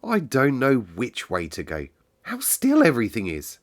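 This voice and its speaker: Man voicing a high-pitched, earnest young girl. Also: huge pitch swings, normal speed. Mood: nervous wonder. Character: stubborn, lonely young girl in an old storybook.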